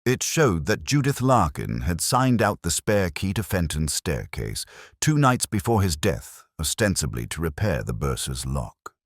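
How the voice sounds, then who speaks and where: A man playing a melancholy, literary police detective, recounting a case in a measured, solemn, reflective way as scripted audio mystery narration. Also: low voice, sing-song, no echo, clean studio sound, heavily acted. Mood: brooding, reflective.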